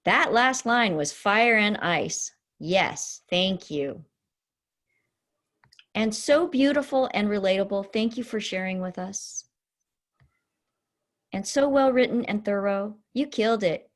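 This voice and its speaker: Woman hosting an online student event, medium pitch, super encouraging, gushes praise at everyone. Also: takes it slowly. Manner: effusive, gushing praise. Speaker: host of a student symposium session. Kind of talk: casual, encouraging event hosting on video call. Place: online video call.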